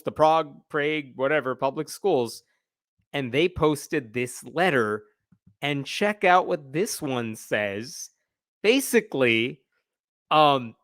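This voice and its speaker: Man giving emphatic, higher-pitched commentary. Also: fairly bright tone, no room sound, studio quality. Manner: conversational, emphatic, sardonic. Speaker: livestream host, commentator. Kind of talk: casual opinionated news commentary.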